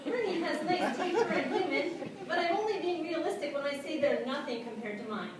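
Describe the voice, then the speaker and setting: A woman voicing an excitable, boastful male dog who narrates a story in a theatrical stage reading, with expressive, punchy phrasing. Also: high voice, fairly quick, crowd noise, lightly acted. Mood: matter-of-fact. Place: small theatre stage, live audience. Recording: echoey theatre from far off, noisy.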